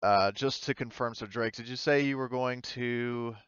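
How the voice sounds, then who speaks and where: A man acting as game master, narrating a tabletop roleplaying game in a halting, conversational way. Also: mid-range voice, fairly bright tone, clear, resonant tone, no room sound, muffled recording.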